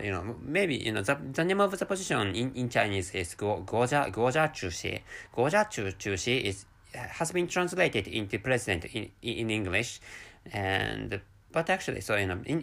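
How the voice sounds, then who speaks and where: A Japanese man speaking English with a Japanese accent as a second language, hosting a solo podcast in a halting way with many restarts and repeated words. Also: fairly low pitch, vocal fry, fairly bright tone. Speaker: podcast host.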